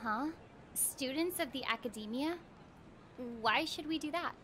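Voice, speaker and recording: Playful, high-voiced young woman streamer. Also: animated, a little slow, a bit bright, a little hiss or hum. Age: young adult. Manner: playful, exclaiming, reactive. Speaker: game streamer, voice actor. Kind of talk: casual live gameplay commentary. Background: game music and dialogue.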